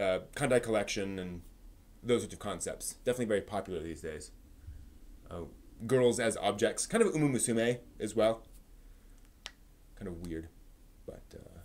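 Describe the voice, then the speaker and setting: A man's voice: mid-range voice, darkish tone. A man hosting a live stream in a casual, chatty style, rambling and thinking aloud as he goes. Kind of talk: casual live stream chat about anime news and giveaways.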